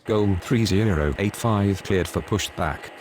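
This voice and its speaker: A man with a fairly low voice speaks formulaic aviation radio calls between pilot and controller. Faint vehicle-like ambient noise runs behind him. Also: a little slow, darkish tone. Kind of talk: scripted aviation radio phraseology.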